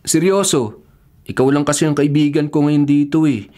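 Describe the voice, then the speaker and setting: A man's voice: on the high side, normal speed, a little mellow, close to an everyday voice. An actor playing an earnest, troubled young male student in a Filipino radio horror drama. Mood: worried, earnest. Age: young adult.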